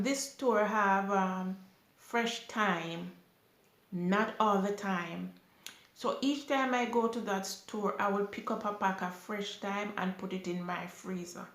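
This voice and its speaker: A woman's voice: mid-range voice, creaky. A young woman from Jamaica with a Jamaican accent, chatting casually as she answers viewer questions from her sofa. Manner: unhurried, chatty, with lively rises when emphatic. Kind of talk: casual Q&A chat. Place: small indoor room, seated on a sofa. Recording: echoey room.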